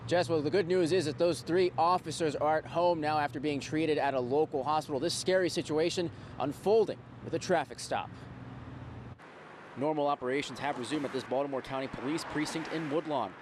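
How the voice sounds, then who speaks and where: A man's voice: fairly high pitch, brisk. A man reporting live for TV news with a polished, emphatic delivery. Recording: faint outdoor street and traffic noise.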